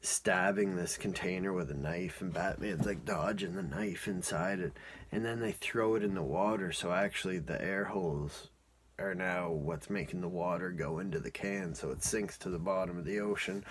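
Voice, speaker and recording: A man's voice: low voice, normal speed, slight background noise. A man casually reviewing and recapping a cartoon episode in a rambling, informal, amused way.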